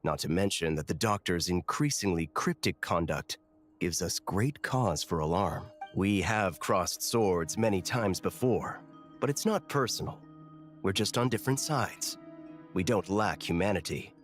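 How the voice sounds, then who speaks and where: An actor voicing a polite, dutiful soldier in a video game, speaking formally and with measure. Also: male voice, low-pitched, lively intonation, a little slow, soft background music.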